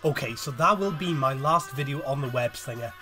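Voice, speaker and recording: A man's voice: mid-range voice, fairly quick, music in the background. A man narrating a scripted video essay as host, with a wry manner. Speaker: host of a cartoon review video.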